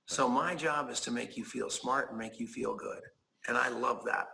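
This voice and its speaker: Emphatic gravelly man in his sixties. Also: medium pitch, normal speed, bright, forceful tone, slight background noise. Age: 60s. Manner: emphatic, preachy, repeats key phrases. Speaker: guest, business owner. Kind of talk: enthusiastic conversational pitch.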